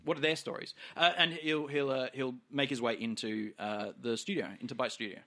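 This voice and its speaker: A man playing a tabletop game, casually improvising descriptions of his character with halting, drawn-out phrasing. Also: medium pitch, steady tone, sharp tone. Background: music.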